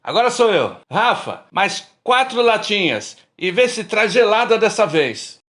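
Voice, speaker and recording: Middle-aged male friend actor, radio drama, cautious, teasing, slightly high voice. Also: very animated, unhurried, a bit bright, full character voice.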